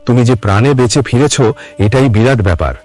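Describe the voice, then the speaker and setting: A man's voice: mid-range voice, lively intonation, a little fast, darkish tone, close to an everyday voice. A man voicing a grave elderly villager speaking with solemn caution in a ghost story. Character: grave elderly villagers warning of an old curse.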